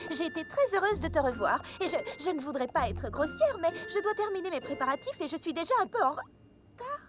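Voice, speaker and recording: Cartoon pony fashionista: very high, swooping delivery, refined and melodramatic, music loud underneath. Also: female voice, big pitch swings, a little fast. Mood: gracious. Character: elegant, theatrical young female pony fashion designer. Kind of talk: French animated cartoon dub acting.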